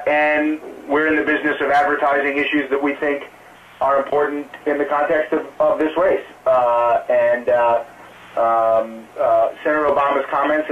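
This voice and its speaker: A man speaking as a political campaign spokesman on a press conference call, answering off the cuff in a careful, halting, hedging way. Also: medium pitch. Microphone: telephone conference call. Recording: muffled narrow phone line, distant, some hiss.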